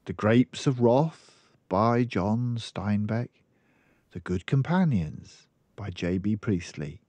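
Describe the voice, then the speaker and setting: Slow, low-voiced older man reading aloud. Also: animated, a little mellow, no echo. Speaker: storyteller. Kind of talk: expressive children's book narration.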